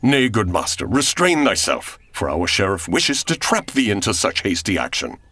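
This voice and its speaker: A loyal second-in-command of an outlaw band in a radio adventure. The voice is low, with rolling, varied intonation and formal, measured archaic diction. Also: male voice, huge pitch swings, pushed and bright, husky, exaggerated voice. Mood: concerned. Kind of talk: old-time radio adventure acting.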